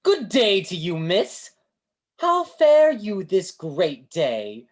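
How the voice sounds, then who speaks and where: A woman's voice: on the low side, huge pitch swings, takes it slowly, a little mellow, exaggerated voice. A woman playing a grandiose, kindly street fortune-teller with florid delivery in a staged play reading over a video call. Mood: grand, genial. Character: Great Banzini, grandiose theatrical male street fortune-teller, kindly mystic.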